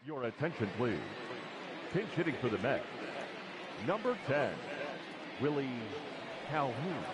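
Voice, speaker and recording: A male public address announcer makes formal ballpark announcements. His pitch is average but moves around a lot, and very loud stadium noise surrounds the distant voice. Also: very animated, a little slow. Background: loud ballpark ambience.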